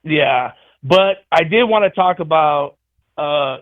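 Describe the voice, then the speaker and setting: A man calling in to a talk show. His voice sits a bit high for a man, and he hesitates with frequent uhs. The sound is dry and close. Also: takes it slowly, no room sound, narrow, tinny audio. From California. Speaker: caller. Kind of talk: call-in conversation.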